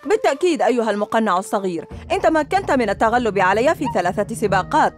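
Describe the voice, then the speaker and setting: A woman's voice: a little high, very animated, very fast, faint music behind. A woman voicing a boastful, mischievous boy villain in a dubbed cartoon, gloating and bossy.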